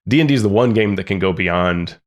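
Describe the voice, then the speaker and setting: A man's voice: deep voice, animated, a little fast. A man co-hosting a gaming podcast, giving his views in casual chat and thinking aloud with lots of restarts. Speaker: podcast co-host.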